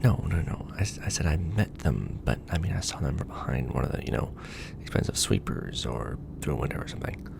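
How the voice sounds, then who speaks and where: A man's voice: very deep voice, expressive, fairly quick, gravelly, hardly any pauses, noisy background, heavily acted. A man playing a nervous, joking young ex-janitor in a roleplay audio drama, hesitant and informal.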